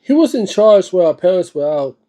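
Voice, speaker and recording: Guy w a pretty high but mellow voice reading a book out loud, kinda stumbles, chimes in casually like hes talking to u. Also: very animated, a little fast. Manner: stumbles over words while reading, informal interjections. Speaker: host reading a book aloud and reacting. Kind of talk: read-aloud narration with casual, off-the-cuff reactions.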